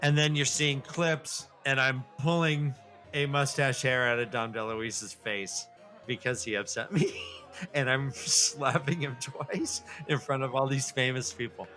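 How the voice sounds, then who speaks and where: Male podcast co-host, bright forceful voice, jokey and halting, music underneath. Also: mid-range voice, fairly even intonation. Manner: jokey, rambling, halting delivery. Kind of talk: casual comedic banter and book chat.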